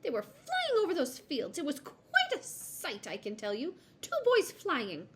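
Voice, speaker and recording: Female magpie, shrieky high voice, cackling, unfriendly, mocking, children's storybook. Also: huge pitch swings, measured pace, noisy background, heavily acted.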